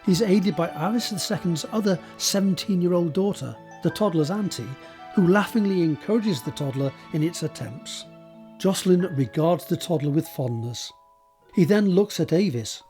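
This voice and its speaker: A male narrator with a fairly high but mellow, soft-edged voice reads scripted scene directions for an audio drama. His delivery is measured and descriptive, over music. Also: animated.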